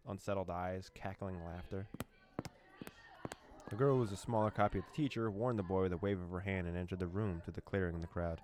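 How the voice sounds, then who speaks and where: Low-voiced man reading a game aloud. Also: fairly even intonation, darkish tone, slight background noise. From former USSR. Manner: reads the text aloud, breaks off with laughs and quick reactions. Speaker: let's play host. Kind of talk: reading a visual novel aloud with reactions.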